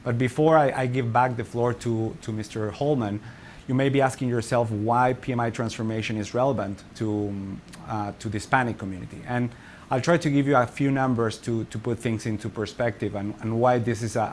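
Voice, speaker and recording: A man with an Argentine Spanish accent talks at a mid-range pitch, friendly and self-deprecating. He often restarts his phrases and fills pauses with uhs. Faint room ambience sits behind him. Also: fairly even intonation, a little mellow, slight background noise. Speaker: company community outreach lead. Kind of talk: webinar presentation.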